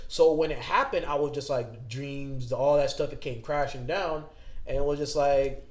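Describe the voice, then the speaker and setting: A man hosting a podcast, telling a story in casual chat, animated and acting out his own thoughts. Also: medium pitch, moderate pace, reverberant. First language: English. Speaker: podcast host.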